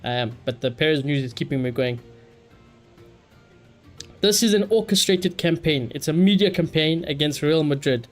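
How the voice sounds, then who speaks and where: A man's voice: a little high, normal speed, pauses often, faint music behind. A man live streaming a game, chatting casually and giving opinionated asides, often repeating his phrases.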